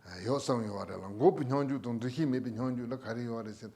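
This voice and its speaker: A man giving an unhurried Buddhist Dharma teaching in Tibetan, laughing now and then between points. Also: medium pitch, warm tone.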